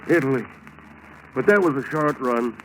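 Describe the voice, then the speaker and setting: A man's voice: mid-range voice, animated, moderate pace, a bit bright, natural voice. An actor playing an ordinary neighborly American air-raid warden and father in a 1940s radio drama. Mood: neighborly chatting. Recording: noisy old 1940s radio recording.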